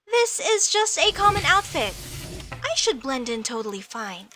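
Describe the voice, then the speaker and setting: High, bright young elf girl played by a woman. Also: sing-song, normal speed, slight background noise, exaggerated voice. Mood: flustered, indignant. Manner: formal stilted phrasing, questioning, indignant. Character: young elf mage girl, formal, curious, outspoken, easily flustered. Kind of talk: comedic audio roleplay addressed to the listener.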